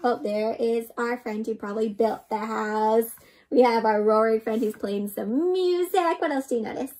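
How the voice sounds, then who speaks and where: A young woman reading a storybook aloud to children in an expressive, interactive way, often putting questions to the listener. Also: fairly high pitch, normal speed, a little hiss or hum. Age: young adult. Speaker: children's read-aloud host. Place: indoor room.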